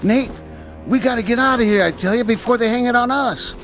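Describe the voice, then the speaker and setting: Jumpy, high-pitched street bum. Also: male voice, brisk, over music. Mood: anxious warning. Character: nervous, timid male street bum, jumpy sidekick. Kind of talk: radio drama acting.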